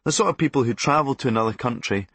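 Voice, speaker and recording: A man's voice: mid-range voice, fast talker, a bit bright, breathy edge, no echo. A Scottish man narrating a comic memoir in a sardonic, darkly comic and blunt manner. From Scotland. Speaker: comedian narrating a memoir audiobook.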